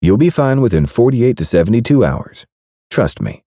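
A man's voice: mid-range voice, big pitch swings, normal speed, dark tone, no echo, clean studio sound, voice pushed up. A man voicing a sly, playful young woman who teases with coy answers. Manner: teasing, coy.

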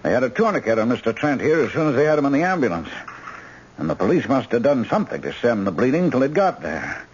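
An actor playing a brisk, decisive hospital surgeon in a radio drama, with a commanding, clipped, pensive way of talking. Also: male voice, medium pitch, very animated, slightly rough. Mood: brisk, probing. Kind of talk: 1970s radio drama acting. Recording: muffled old radio broadcast recording.

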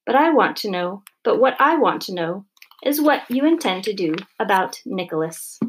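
A woman voicing a furious, accusing mother in a storybook, shrill, indignant and scolding. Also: sing-song, normal speed, husky, low-quality recording. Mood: indignant, cold.

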